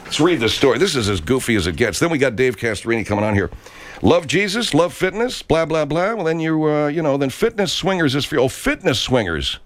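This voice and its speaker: A man's voice: on the high side, sing-song, a little fast, no room sound. A man hosting a morning radio show, mocking and crude with jokes. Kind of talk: irreverent morning radio banter.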